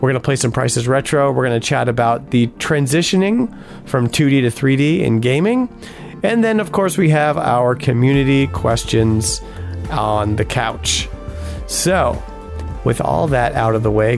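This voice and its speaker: A man hosting a casual podcast, upbeat and chatty, with a hoarse voice tonight. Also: medium pitch, lively intonation, a little slow, faint music behind. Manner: upbeat, chatty announcer delivery. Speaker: podcast host.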